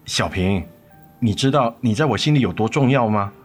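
An actor playing a tender, remorseful father and ex-husband in a radio drama, earnest and gentle in manner. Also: lowish voice, lively intonation, normal speed. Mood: remorseful, pleading. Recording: music under the voice.

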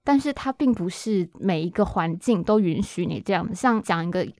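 A woman's voice: lowish voice, animated, moderate pace, a little mellow, no room sound. A woman podcast host with a Taiwanese accent speaks in a very dry, close recording. She talks conversationally, with an earnest and reflective manner. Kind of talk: conversational interview.

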